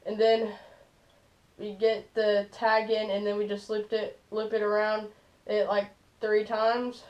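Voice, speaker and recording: A man's voice: sits high, monotone, takes it slowly. A teenage boy hosting a casual fishing how-to in a small bedroom, talking loosely with lots of "like" and "stuff like that.". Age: teens. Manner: loose, filler-heavy, "like" and "stuff like that". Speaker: fishing channel host. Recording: dull lo-fi camera audio.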